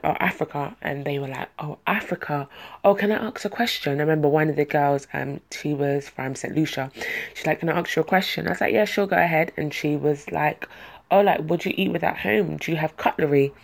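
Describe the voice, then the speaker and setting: A woman's voice: low voice, fairly quick, gravelly. A young adult woman from London with a London accent, telling personal stories to viewers in a casual, conversational way. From London, England. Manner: conversational, quoting dialogue in anecdotes. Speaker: speaker sharing a personal story.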